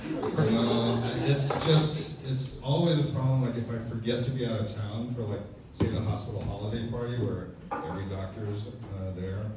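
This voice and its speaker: A man's voice: medium pitch, moderate pace, very noisy. Man in his fifties doing club stand-up. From Texas, USA. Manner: dry, self-deprecating storytelling with conversational fillers. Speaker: stand-up comedian. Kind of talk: stand-up comedy set. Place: comedy club stage. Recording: echoey club audio from a distant mic. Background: audience laughter.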